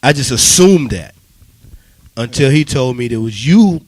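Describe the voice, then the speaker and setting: A man's voice: mid-range voice, animated, normal speed, a little mellow, no room sound. Mid-voiced man interviewing in dry studio. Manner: informal, conversational, frequent acknowledgements and restatements. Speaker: radio interviewer. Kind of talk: casual radio interview. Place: radio studio.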